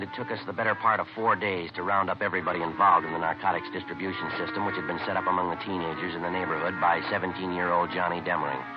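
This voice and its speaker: An actor playing a terse police detective, narrating and questioning in a deadpan 1950s radio drama style. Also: male voice, low voice, natural voice. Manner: deadpan, clipped. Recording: muffled vintage radio recording.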